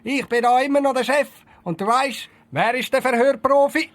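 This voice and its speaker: A man's voice: very high voice, moderate pace. A man with a Swiss German accent playing a bossy, boastful police detective in an audio drama, blustering and self-important. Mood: smug.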